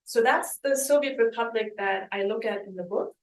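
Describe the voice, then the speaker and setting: A woman from Germany giving an academic book talk as a historian, speaking thoughtfully with pauses and restarts. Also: on the high side. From West Germany. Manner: thoughtful, hesitant, with restarts and ums. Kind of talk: academic lecture with slides. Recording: roomy, mic at a distance.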